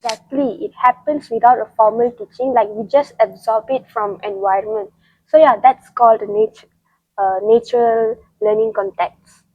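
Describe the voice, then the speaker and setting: A woman's voice: fairly high pitch, steady tone, normal speed, no room sound, phone-quality sound. A woman co-hosting a student chat, talking casually and saying "like" often. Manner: chatty, says "like" often.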